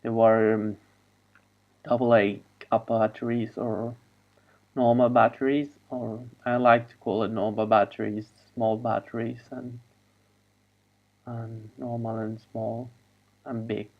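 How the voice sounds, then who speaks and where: A man whose first language is Spanish, talking in a halting, wandering monologue over a video call. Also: mid-range voice, level tone, highs cut off. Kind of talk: rambling monologue.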